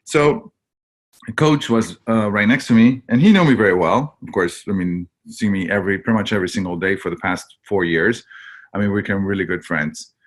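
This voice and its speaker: A man's voice: on the low side, a little fast. A man from Argentina, a webinar guest telling his own story in a candid, rambling way with many fillers.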